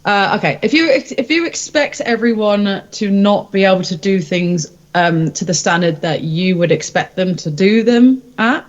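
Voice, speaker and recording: A woman's voice: mid-range voice, lively intonation, normal speed, hardly any pauses, highs cut off. A woman singer-songwriter chatting casually in an interview, candid and hesitant as she thinks aloud.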